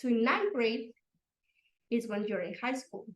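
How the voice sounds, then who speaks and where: A young woman with a Mexican Spanish accent speaks in a fairly high voice, pausing often and filling gaps with "um". Spanish is her first language, so her phrasing is simple. Her voice rings in an echoey room. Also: animated. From Mexico City. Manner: hesitant, lots of fillers, simple phrasing. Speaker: guest, runner.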